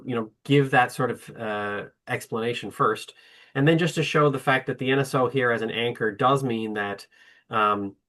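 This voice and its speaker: A man's voice: mid-range voice, bright, forceful tone. A man speaking on a webinar panel about his orchestra, hesitant, with many fillers and restarts. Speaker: orchestra representative.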